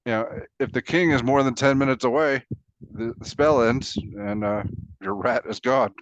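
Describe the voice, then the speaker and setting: Mid-pitched man, dull dry recording. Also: normal speed, no room sound. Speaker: co-host asking questions. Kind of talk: casual chat, questioning.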